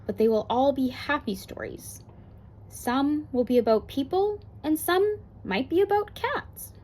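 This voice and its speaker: A woman's voice: high-pitched, animated, measured pace, darkish tone, voice pushed up. Eager young granddaughter played by a woman reader for a picture book, earnest and imaginative, lifted voice.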